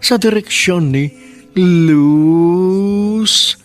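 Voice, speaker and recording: A man's voice: high voice, very slow, soft background music. A man hosting and narrating a radio romance drama in an earnest, sentimental storytelling manner.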